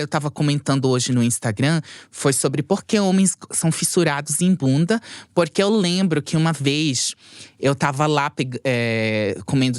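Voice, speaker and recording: A man who is not a native speaker, a sexologist guest telling stories in an animated, jokey way in a casual podcast interview. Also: a little high, no echo, studio quality. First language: not Portuguese.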